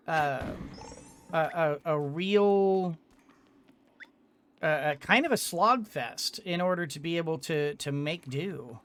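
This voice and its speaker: A gamer giving casual commentary on a video game in a halting way, thinking aloud and repeating words. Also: mid-range voice, a bit bright. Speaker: gamer, let's-play host. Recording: clearly noisy background.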